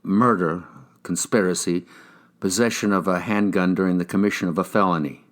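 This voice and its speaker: A man's voice: sits low, fairly even intonation, moderate pace, close to an everyday voice. A man voicing a guarded, deferential ex-convict on parole in an audiobook, answering tersely and politely. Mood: subdued. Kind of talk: audiobook character dialogue.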